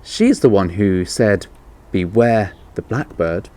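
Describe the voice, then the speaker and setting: A man's voice: fairly low pitch, animated, a little slow, dark tone. A man reading the part of a keen young girl in a children's storybook, with a lifted delivery. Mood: eager. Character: eager girl piping up, later gently questioning.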